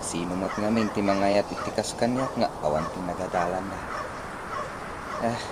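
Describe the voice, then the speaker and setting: An actor playing a weary, fond ageing uncle in a village radio comedy drama. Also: male voice, low-pitched, moderate pace, close to an everyday voice. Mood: weary, reassuring. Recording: noisy radio drama, birdsong ambience behind.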